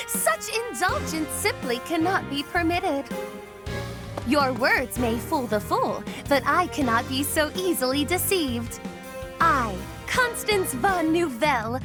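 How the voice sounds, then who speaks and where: A woman's voice: high voice, big pitch swings, slow, music in the background, full character voice. An actress voicing a haughty young noblewoman mage in a video game, speaking with pompous, florid, old-fashioned diction. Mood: haughty, accusing.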